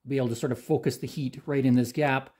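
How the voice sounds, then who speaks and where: A middle-aged man from Canada, hosting a do-it-yourself channel, explaining a hands-on repair in a relaxed, plain-spoken way while he works in a garage workshop. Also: medium pitch, quick pace, a little hiss or hum. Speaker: DIY channel host. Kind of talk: hands-on how-to demonstration.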